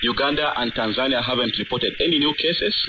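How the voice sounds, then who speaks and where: A man's voice: medium pitch, brisk, background noise. A male doctor, explaining as an expert interviewee on a phone line relayed over shortwave.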